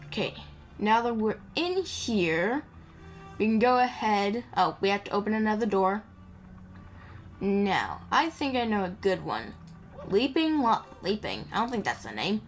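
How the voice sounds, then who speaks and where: Female gamer for a casual playthrough: playful, chatty, unscripted, mid-pitched, game music underneath. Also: big pitch swings, unhurried. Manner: chatty, playful, mumbles asides to the game character. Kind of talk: casual improvised gameplay commentary. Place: indoor voice-over recorded over game audio. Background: video game music.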